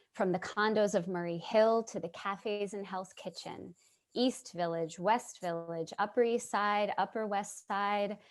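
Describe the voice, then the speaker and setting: Woman playing a slow, reflective middle-aged New Yorker. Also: medium pitch, close to an everyday voice. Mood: somber, reflective. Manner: measured, reflective, storytelling. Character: casually dressed middle-aged woman, born-and-bred Manhattanite narrator, compassionate. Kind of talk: dramatic monologue in a staged play reading. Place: at home on a video call.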